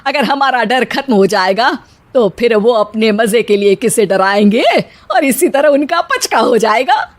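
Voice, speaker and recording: Brief: high-voiced scolding mother-in-law, a woman acting it, bossy and quarrelsome, cartoon. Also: expressive, measured pace. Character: bossy middle-aged mother-in-law, scared of bugs.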